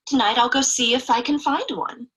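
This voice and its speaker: A woman's voice: fairly high pitch, moderate pace, fairly bright tone, slightly rough, rough recording. A woman voicing a small, innocent male toy bear full of wistful wonder, in a storybook reading. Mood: wistful, resolved.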